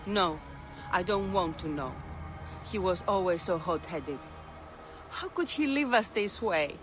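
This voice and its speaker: An actress playing a proud, bitter, grief-stricken widow in a video game cutscene. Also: female voice, on the low side, animated, measured pace, fairly bright tone. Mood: dread, hurt. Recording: faint music behind the voice.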